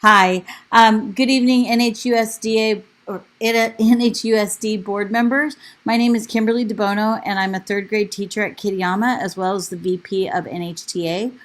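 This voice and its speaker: A woman teacher and union officer delivering a prepared public comment to a school board in an earnest, steady manner over a remote call. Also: mid-range voice, moderate pace, few pauses. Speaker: teacher and union vice president. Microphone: remote call audio.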